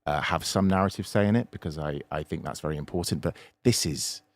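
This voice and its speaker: Deep-voiced man, cynical and emphatic. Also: fairly quick. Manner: emphatic, repeats words for stress. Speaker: podcast panelist. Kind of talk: opinionated unscripted discussion.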